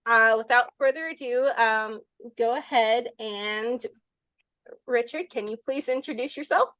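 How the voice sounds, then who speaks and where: A woman with a slightly higher voice hosts a video-call interview. She asks friendly questions with plenty of fillers and laughs. The sound is dry, with no room around it. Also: unhurried, a bit bright, no room sound. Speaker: YouTube channel host, interviewer. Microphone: laptop on a video call.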